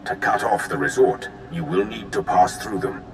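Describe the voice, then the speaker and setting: Man as very deep, solemn Sith advisor. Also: normal speed, a crowd in the background, lightly acted. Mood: solemn, formal. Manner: formal, grave. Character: solemn, loyal male Sith lord serving as tactical advisor. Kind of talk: video game voice acting. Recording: music behind the voice.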